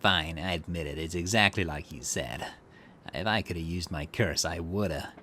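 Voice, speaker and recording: A man's voice: sits low, moderate pace, pushed and bright, a little hiss or hum, pitched below the performer's own voice. A man voicing a sly, menacing criminal in a visual novel, with a smug, taunting drawl.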